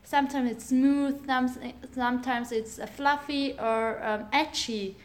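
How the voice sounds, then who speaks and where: A woman's voice: high voice, steady tone, unhurried. A young woman from Austria, co-hosting a teacher podcast, agreeing often and speaking with "uh" fillers. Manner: agreeable, frequent "yeah" and "uh" fillers.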